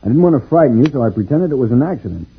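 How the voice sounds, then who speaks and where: An actor playing a calm, protective space pilot from another planet, reassuring and explanatory in a 1950s radio serial style. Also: male voice, medium pitch, very fast, breathy edge, full character voice. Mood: grave, confiding. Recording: tinny, noisy old radio recording.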